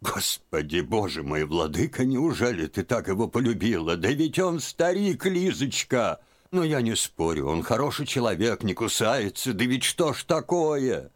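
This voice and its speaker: A man voicing an excitable elderly aunt who frets and scolds in a raised voice. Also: normal speed. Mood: exasperated. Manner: shrill, fretful, scolding. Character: fussy excitable old aunt, elderly woman.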